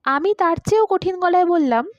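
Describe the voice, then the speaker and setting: A woman reading a literary short story aloud in an expressive, dramatised narrating style. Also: very high voice, a little fast, no room sound, dull audio. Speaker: story reader.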